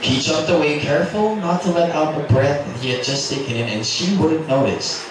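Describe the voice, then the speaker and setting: A man's voice: on the high side, moderate pace. A man giving a dramatic, scripted narration of a romance novel as a reader at a literary event. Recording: echoey, noisy room from far off.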